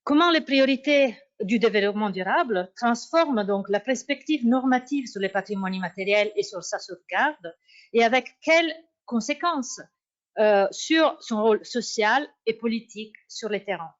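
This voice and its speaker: A woman with as her language, giving a formal academic conference talk and often pausing to search for words. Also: fairly high pitch, lively intonation. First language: Italian. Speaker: university researcher. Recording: dull lo-fi sound.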